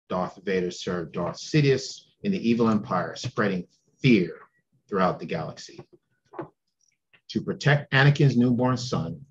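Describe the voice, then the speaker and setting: A middle-aged man hosting and reading a children's story aloud in an expressive, deliberate way. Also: on the low side, slight background noise. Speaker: host and storybook reader. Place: room at home, indoors. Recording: dull lo-fi sound, music behind.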